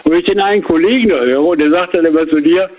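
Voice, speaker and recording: A man's voice: fairly high pitch, rapid-fire. An older man calling in to a radio show, talking in a rambling, reflective way with many hesitations. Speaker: caller. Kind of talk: phone-in chat. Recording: tinny phone line.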